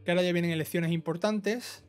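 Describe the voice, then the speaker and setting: A high-voiced man talks through a video game guide. He is casual and instructional, dropping in short check-in tags. Music plays behind his voice. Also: fairly even intonation, fast talker. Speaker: gaming YouTuber giving a trophy guide. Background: background music.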